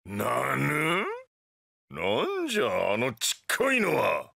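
A man's voice: medium pitch, huge pitch swings, slow, gravelly, pushed and bright, dry recording, studio quality, full character voice. A man voicing a hearty, bewildered old grandfather in an anime game, with theatrical, folksy old-man phrasing. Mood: puzzled.

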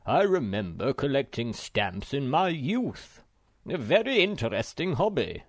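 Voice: medium pitch, very animated, a little slow, no room sound, studio quality, pitched above the performer's own voice. A reader voicing Moomin Papa, a genial, somewhat self-important father troll.

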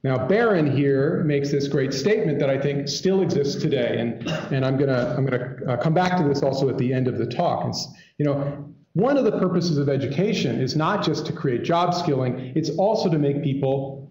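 Male keynote speaker, mellow voice, conversational and digressive, recorded in an echoey hall. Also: mid-range voice, lively intonation, moderate pace, hardly any pauses. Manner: conversational, digressive, wry asides. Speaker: keynote speaker, academic lecturer. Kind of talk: conference lecture to an audience. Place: indoor lecture hall. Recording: echoey room, dull muffled sound.

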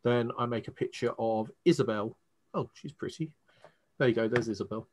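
A man's voice: mid-range voice, moderate pace, a little hiss or hum. English man running a tabletop game. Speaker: game master. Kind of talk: casual explanation of a tabletop game session.

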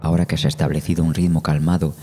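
Very deep, dark-voiced man guiding meditation. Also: level tone. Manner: slow, soothing, measured delivery with long pauses. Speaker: meditation guide. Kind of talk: guided meditation narration. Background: meditation music.

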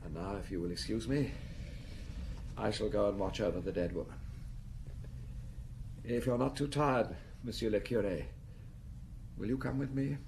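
An actor playing a remorseful aristocratic prodigal son in British radio drama, formal and confessional, with a British accent. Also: male voice, lowish voice, normal speed, pauses often, darkish tone, slight background noise, lightly acted. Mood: grave, subdued. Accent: British English. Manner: formal, confessional, self-reproaching.

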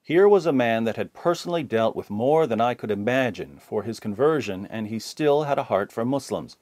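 A man reading viewer comments aloud in a plain, steady voice. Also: mid-range voice, moderate pace, no echo.

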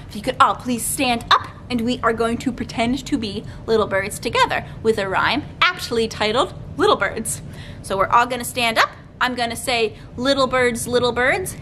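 A young woman leading a children's storytime, reading aloud with deliberate, clearly articulated phrasing as a librarian would for small kids. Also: a little high, pushed and bright, slight background noise. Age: young adult. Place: library room with bookshelves, indoors.

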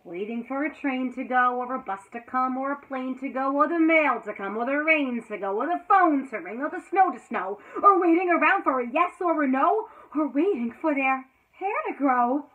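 A woman in her thirties, a teacher, reading a children's picture book aloud with dramatic swings between hushed lines and loud exclamations, recorded indoors on a close camera mic. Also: high voice, expressive, moderate pace, hardly any pauses. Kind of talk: expressive read-aloud storytelling for children. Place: small indoor room before a green backdrop. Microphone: built-in camera mic at close range. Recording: tinny phone-like audio.

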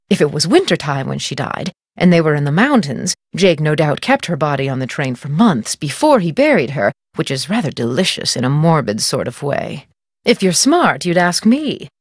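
A haughty middle-aged lady writer from a mystery game. Her voice sits a little low and swings widely in pitch, recorded close and dry. She is arch, gossipy and condescending, with a theatrical air. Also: no room sound. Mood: dismissive, morbid relish. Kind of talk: video game character acting.

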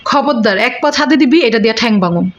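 A woman acts out an exasperated Bangladeshi cartoon mother in a high voice. She nags and threatens her children in sharp-tongued, colloquial speech. The audio is muffled and noisy. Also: expressive, fast talker, lightly acted. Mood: scolding, exasperated. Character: scolding, exasperated Bengali mother who threatens her kids. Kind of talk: comedic cartoon dubbing. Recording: muffled audio with clear noise.

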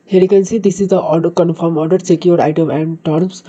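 A man with a Nepali accent and a very dark, soft-edged tone hosts a tutorial in an even, flat melody. He speaks haltingly and repeats words, and the microphone sound is dull and lo-fi. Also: fairly high pitch, breathy edge. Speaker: tutorial host. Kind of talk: screen-recorded tutorial narration.

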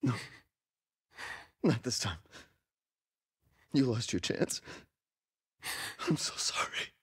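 A man's voice: medium pitch, sing-song, takes it slowly, a bit bright, full character voice. An actor playing an intense, emotional father who is desperate to protect his grown daughter in a video game. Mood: tearful, loving. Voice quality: raspy, gravelly. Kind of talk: dramatic video game voice acting.

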